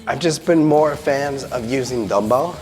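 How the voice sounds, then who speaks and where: A man's voice: mid-range voice, unhurried, a little mellow. A man in his thirties chatting casually and rambling with lots of fillers while working out in a gym. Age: 30s. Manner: rambling, filler-heavy, upbeat. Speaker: podcast host working out. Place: large echoey gym. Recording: echoey gym with music behind.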